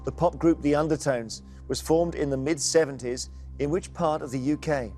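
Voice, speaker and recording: A middle-aged man hosting a television quiz show, brisk and jokey as he reads out the questions. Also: a little high, fairly even intonation, dark tone, soft background music.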